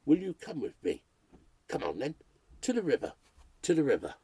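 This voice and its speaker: A man's voice: fairly high pitch, wildly swooping pitch, moderate pace, dark tone, breathy edge, no room sound, exaggerated voice. A man reading aloud and voicing a male radio announcer alone in a haunted house, rambling nervously to his listeners as he cracks up. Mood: frantic. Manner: rambling, nervy chatter addressed to listeners. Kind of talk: read-aloud ghost story.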